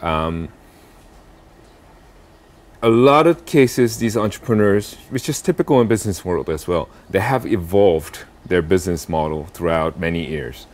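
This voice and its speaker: A young adult man from South Korea answering interview questions about impact investing, pausing often with fillers and restarts. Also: low voice, animated. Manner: halting, many fillers and restarts.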